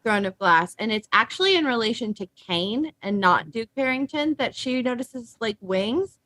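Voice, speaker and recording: A woman's voice: a little high, animated, moderate pace, bright, forceful tone, no echo, rough recording. Woman in a dry, close recording. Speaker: discussion guest. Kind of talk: casual book discussion with read-out passages.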